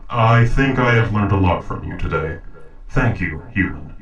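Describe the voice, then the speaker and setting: A man playing a calm, logical sentient robot in an audio roleplay, speaking in formal, measured, precise sentences. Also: sits low, echoey room, far from the mic, highs cut off. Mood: calm, reassuring. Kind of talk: dramatic audio roleplay acting.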